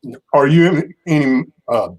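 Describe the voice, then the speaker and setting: A man's voice: fairly high pitch, moderate pace, a little mellow, low-quality recording. Laid-back male stream host with a chuckling, easygoing delivery. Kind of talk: casual live stream chat.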